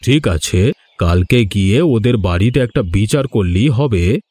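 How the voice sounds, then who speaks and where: A man playing a proud, domineering village landlord in a cartoon drama, speaking with deliberate authority. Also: on the low side, lively intonation, mellow, breathy edge, dry recording. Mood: reasoning. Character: stern, domineering village landlord, male, proud but remorseful. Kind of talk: Bengali cartoon drama dialogue.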